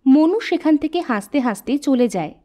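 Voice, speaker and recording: High-voiced woman narrating a folk tale. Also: normal speed. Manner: steady, plain storytelling. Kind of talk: scripted storybook narration.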